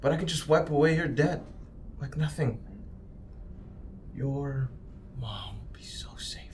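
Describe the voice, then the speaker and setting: A man playing an oily, menacing corporate agent in a tabletop game, cajoling and slipping into hushed threats. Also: medium pitch, measured pace, slight background noise, lightly acted. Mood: coaxing. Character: slick, menacing male corporate agent, bribing and threatening crew. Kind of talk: improvised tabletop roleplay acting.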